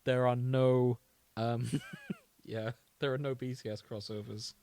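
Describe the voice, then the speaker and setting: A man's voice: mid-range voice, level tone, a little slow, darkish tone, clean tone. A man co-hosting a podcast in casual comedic chat, joking and giggly. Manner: jokey, giggly. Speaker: podcast co-host.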